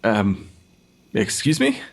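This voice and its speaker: An actor playing a nervous young forensic pathologist, hesitant, apologetic and easily flustered, in an audio drama. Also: male voice, fairly low pitch, huge pitch swings, takes it slowly, vocal fry, full character voice. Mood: awkward, uneasy. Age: young adult.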